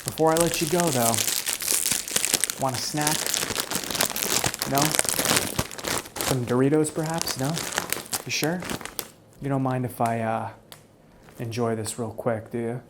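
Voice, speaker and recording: Man, mid-pitched, soft-spoken ASMR roleplay with playful menace and occasional whispers. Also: steady tone, a little slow, pauses often, heavy background noise. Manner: mock-menacing, teasing, repetitive. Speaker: ASMR channel creator.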